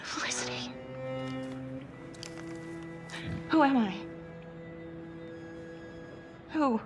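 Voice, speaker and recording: A woman's voice: high-pitched, huge pitch swings, fairly quick, music in the background. A woman playing a tough, sardonic homicide detective in a naturalistic crime-thriller game, with a blunt, muttering delivery. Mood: hurt, angry.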